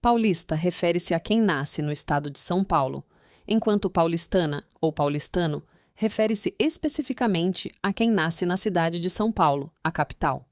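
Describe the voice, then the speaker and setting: A young woman reading a definition aloud in a quiet, flat way, set apart from her normal chat. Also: lowish voice, moderate pace, no room sound, clean studio sound, pitched below the performer's own voice, lightly acted.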